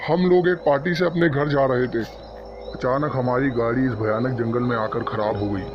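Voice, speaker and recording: A man's voice: mid-range voice, fairly even intonation, moderate pace, natural voice. A man voicing an earnest, urgent young man who leads his friends in a cartoon horror story. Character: guilt-ridden, pleading young man leading his friends. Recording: dull lo-fi audio under music.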